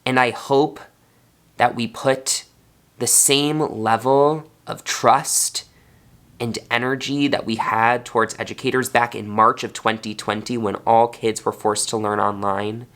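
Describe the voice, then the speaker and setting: A man's voice: mid-range voice, fairly even intonation, measured pace, fairly bright tone. A young man, a fourth grade teacher, talking earnestly in a personal vlog, sounding congested. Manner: earnest, heartfelt.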